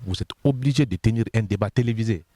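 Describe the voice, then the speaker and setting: A man's voice: lowish voice, fast talker, mellow, no echo. A man with a Guinean French accent, an official of the constitutional body, methodically explaining points one by one in an interview and sometimes repeating words as he searches.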